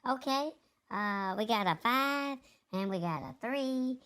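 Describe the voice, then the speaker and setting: A woman's voice: fairly high pitch, lively intonation, slow, fairly bright tone, clear, resonant tone. A woman hosting a live show in a casual, chatty style. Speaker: show host.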